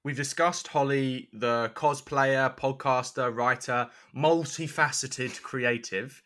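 Guy hosting an interview podcast, bright forward voice, medium pitch, asks structured questions. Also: fairly even intonation, slow pace, soft background music. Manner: structured questions and short acknowledgements. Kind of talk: conversational interview.

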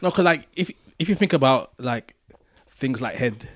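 Man, dry close sound, medium pitch, casual discussion, hesitant and reflective. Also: animated, moderate pace, a bit bright, no room sound. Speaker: podcast co-host.

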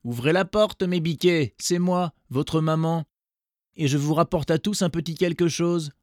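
A man voicing a cunning hungry wolf who fakes a gentle voice. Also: a little high, unhurried, dry recording, studio quality, pitched above the performer's own voice, close to an everyday voice. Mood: wheedling. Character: sly hungry male wolf in disguise.

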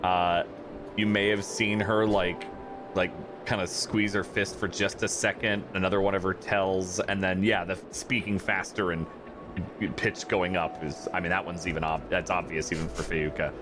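A man's voice: deep voice, flat delivery, normal speed, over music. A man running a tabletop game as game master, explaining rules and reading tells with amused ease.

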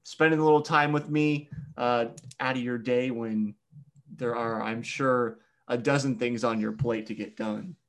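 A man hosting a podcast, asking relaxed, reflective questions with plenty of fillers. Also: medium pitch, unhurried. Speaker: podcast host. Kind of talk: podcast interview.

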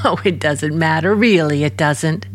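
A woman's voice: deep voice, normal speed, soft background music, close to an everyday voice. A woman playing an earnest, curious young niece in a radio drama, speaking insistently. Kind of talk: mid-century American radio drama acting.

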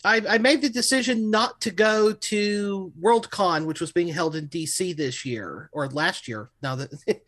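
A man from the United States speaks as a podcast co-host. His voice sits a little high for a man. The delivery is casual conversation. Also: unhurried, a bit bright. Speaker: podcast co-host and fiction writer. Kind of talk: casual chat.

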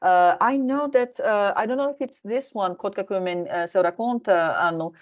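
A woman's voice: medium pitch, normal speed. Woman, host, casual talk, chatty and rambling, muffled lo-fi sound. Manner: chatty, digressive, many fillers. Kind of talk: casual discussion.

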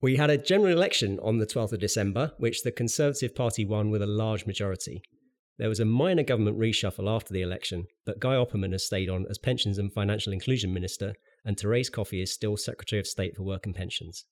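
A man's voice: lowish voice, quick pace. A man hosting a podcast, reading a scripted pensions news update with dry, wry asides, sounding a little bunged up from a cold. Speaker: podcast host.

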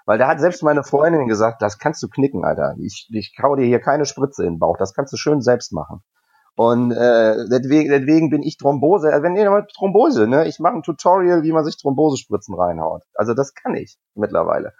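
A man's voice: mid-range voice, sing-song, a little fast, a little mellow, husky, muffled recording. A man from Hesse with a light Hessian accent, chatting casually and jokingly in a podcast conversation. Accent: Hessian German. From Germany, Hesse. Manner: joking, rambling, with fillers.

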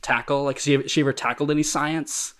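A man's voice: on the high side, fairly even intonation, a little fast, a bit bright. A man interviewing a guest, asking curious, hesitant questions with many fillers.

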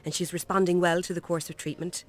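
Woman playing a calm, friendly Irish nurse. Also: fairly low pitch, fast, soft background music, lightly acted. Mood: reassuring, probing. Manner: calm, reassuring, lightly teasing. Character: friendly visiting nurse, reassuring, gently probing mother. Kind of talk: naturalistic radio drama acting.

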